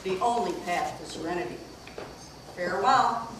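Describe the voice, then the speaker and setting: A woman's voice: on the low side, normal speed, close to an everyday voice. A woman playing a serene, wise sage who teaches from scrolls in an amateur puppet play. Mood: serene, approving. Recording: very echoey, distant, background noise.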